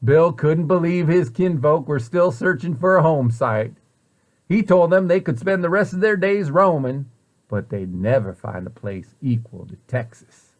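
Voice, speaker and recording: A man reads a storybook aloud for children. His voice sits a bit high for a man, and he shapes the sentences expressively and deliberately, like a practiced storyteller. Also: lively intonation. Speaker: site director reading a picture book to children.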